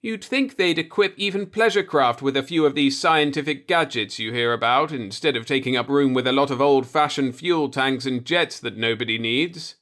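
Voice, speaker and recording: An earnest, fretful young husband, played by a male narrator in a sci-fi storybook. His voice sits higher, uneasy as a stranded space yacht passenger. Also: a bit bright, close to an everyday voice. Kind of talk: storybook dialogue in a sci-fi audiobook.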